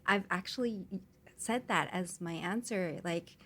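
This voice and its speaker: A woman with a slightly low voice speaks as a guest in a relaxed interview. She talks easily and casually, with frequent "um" and "like." She was born in Hong Kong and raised in Canada. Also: unhurried. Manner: easygoing, with frequent um and like. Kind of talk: conversational interview.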